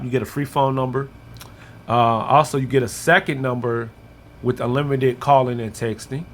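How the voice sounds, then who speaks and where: American man, mid-pitched voice, informal tutorial narration, step-by-step explaining, faintly noisy recording. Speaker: app reviewer and tutorial presenter. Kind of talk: casual app walkthrough.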